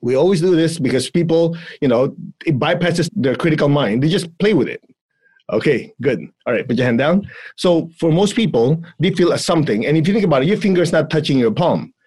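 A man with a Chinese accent teaching energy exercises in a podcast chat, playful and quick to laugh between calm instructions. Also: fairly high pitch, breathy edge. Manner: playful, laughs often, breaks into giggles.

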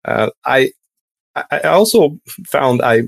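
A man in his thirties from Hungary, a podcast guest telling his story casually and haltingly, often restarting sentences. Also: medium pitch, animated, creaky, no room sound.

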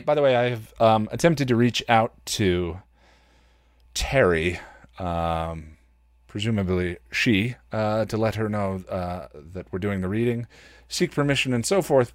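A man's voice: mid-range voice, no room sound, clean studio sound. A man hosting a podcast, reading fan fiction and chatting casually. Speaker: podcast host and reader.

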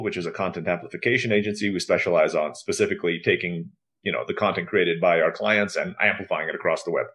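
A man's voice: on the low side, fairly bright tone. Fluent, casual male podcast guest. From grew up in Istanbul, Turkey. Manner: fluent, informal, self-deprecating asides. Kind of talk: casual interview talk, explanatory.

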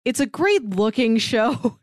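A woman's voice: fairly high pitch, lively intonation, slow, dry recording, clean studio sound. A woman co-hosting a podcast, talking in a chatty, informal way. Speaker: podcast co-host. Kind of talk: casual podcast chat.

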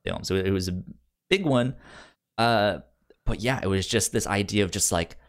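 A man's voice: fairly low pitch, moderate pace. A man hosting a podcast in casual review chat, rambling a little as he thinks aloud. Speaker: podcast host.

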